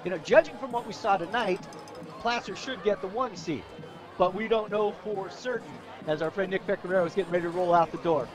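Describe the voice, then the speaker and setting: A man's voice: sits high, brisk, crowd noise. A man with an American accent giving live football color commentary in a conversational, folksy way. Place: outdoor football stadium broadcast.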